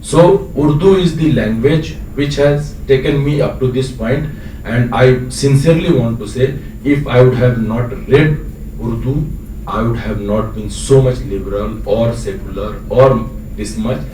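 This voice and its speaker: Indian man from Bihar, non-native English, hesitant fillers, mellow tone, echoey distant hall. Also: medium pitch, measured pace. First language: local dialect of Bihar. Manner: earnest, rambling, hesitant with many fillers. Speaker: audience member asking a question. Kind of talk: long-winded question from the floor. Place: lecture hall. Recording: echoey hall, distant mic, faint noise.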